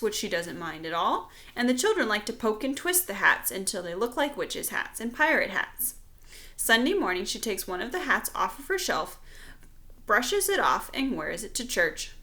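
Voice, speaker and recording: A woman's voice: medium pitch, pushed and bright. A woman teacher reading a children's storybook aloud, with casual explanatory asides addressed directly to young listeners.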